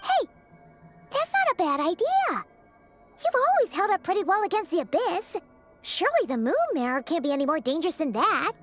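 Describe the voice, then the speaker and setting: A woman's voice: very high-pitched, very animated, normal speed, a bit bright, faint music behind, exaggerated voice. A woman voicing a small, perky, childlike fairy companion in a video game, chirpy and exclamatory. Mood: eager, insistent. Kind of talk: English video game voice acting.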